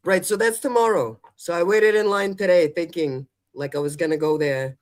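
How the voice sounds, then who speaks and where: Higher-pitched, chatty male show host. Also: fairly even intonation, normal speed. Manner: colloquial, chatty, seeks agreement. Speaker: advice call-in show host. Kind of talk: casual chat.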